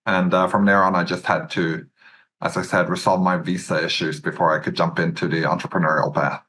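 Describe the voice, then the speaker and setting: A Norwegian man in his thirties with a Norwegian accent, a podcast guest telling his career story in a measured way, with many "uh"s and "um"s. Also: deep voice, flat delivery, sharp tone, a little hiss or hum. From Norway. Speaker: podcast guest, search fund entrepreneur. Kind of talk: relaxed interview answers.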